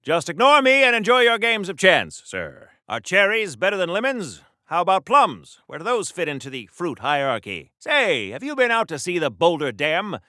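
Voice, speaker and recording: A man's voice: sits high, wildly swooping pitch, normal speed, few pauses, bright, forceful tone, dry recording, clean studio sound, heavily acted. A man playing a chatty, pompous wartime comic-book hero in a video game, with flowery diction in a theatrical 1940s radio serial style. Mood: bright, insistent.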